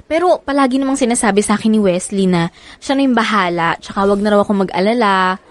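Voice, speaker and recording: A woman's voice: medium pitch, fast, no room sound, close to an everyday voice. An actress playing a young Filipina single mother anxious about love, in a natural radio drama style. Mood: worried, earnest.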